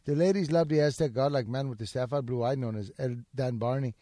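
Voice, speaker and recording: A man reading a letter as an adult male superfan who writes in broken English, with halting delivery. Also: mid-range voice, dark tone, no room sound, clean studio sound, pitched below the performer's own voice, close to an everyday voice. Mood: earnest.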